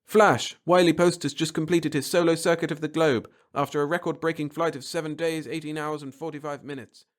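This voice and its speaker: A man voicing a gritty, croaking 1930s radio newscaster, declaiming clipped news bulletins. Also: a little high, steady tone, a little fast, few pauses, pitched above the performer's own voice, natural voice. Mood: brisk announcing. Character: gritty old-fashioned radio newscaster barking flash bulletins.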